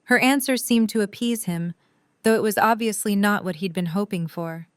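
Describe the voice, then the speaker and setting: A woman's voice: mid-range voice, moderate pace, smooth, no room sound, clean studio sound. A woman narrating a scripted audio drama story in prose.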